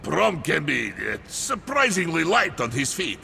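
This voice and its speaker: A big, good-natured warrior in a fantasy game. His booming voice is bright and very animated, in slow, simple phrases. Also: creaky, slight background noise, exaggerated voice. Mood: confident. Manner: simple phrasing, refers to himself by name. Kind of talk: fantasy game character acting.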